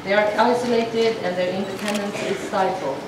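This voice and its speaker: A woman interprets a formal address into speech, measured and halting, often restarting her phrases. She sounds far from the microphone in a large, heavily echoing hall. Steady noise sits under her voice. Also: mid-range voice. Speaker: sign language interpreter voicing a speech. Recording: echoey hall from far off, noisy.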